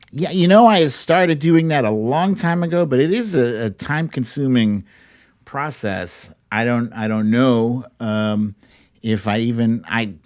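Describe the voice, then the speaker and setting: A man from Brooklyn hosting a livestream talk show, chatting informally and slangily straight to his viewers. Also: mid-range voice. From Brooklyn, New York. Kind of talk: casual livestream chat with viewers.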